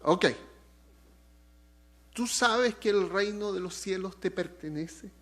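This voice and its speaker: A Chilean-accented man preaching in a slow, deliberate cadence, his voice sitting a little higher than most men's. He swings between loud, rising emphasis and soft, dropped phrase endings. Now and then he breaks off to joke with the people listening. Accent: Chilean Spanish. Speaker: pastor. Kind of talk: sermon.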